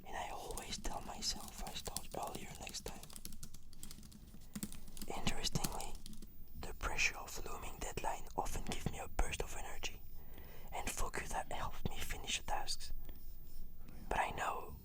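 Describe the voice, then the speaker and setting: A woman whispering measured, articulate, scripted answers as the client in an ASMR roleplay.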